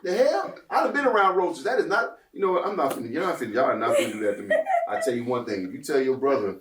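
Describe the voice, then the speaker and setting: A man in his forties, a father hosting a casual chat, with a middle-pitched voice that swings up and down a lot as he gets emphatic. He laughs often while he talks. The sound is roomy, picked up from a mic set some way off. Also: animated, fast, far from the mic, background noise. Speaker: host and father.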